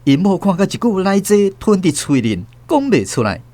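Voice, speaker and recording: Guy narrating a radio story, higher voice, really animated. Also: normal speed. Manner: lively storytelling. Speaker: host and narrator.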